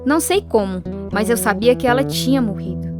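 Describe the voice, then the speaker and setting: An actress performs a young woman telling her own story in a radio drama. Her voice is on the high side, earnest and a little hesitant, with music clearly playing behind her. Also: animated, a little fast, lightly acted. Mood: troubled, reflective. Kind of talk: dramatized first-person radio narration.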